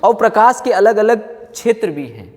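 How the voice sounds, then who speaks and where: A man's voice: sits high, sing-song, normal speed. A man teaching a science class, repeating phrases, asking check questions and mixing in English technical terms. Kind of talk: classroom physics lecture for exam students. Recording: echoey room with long reverb.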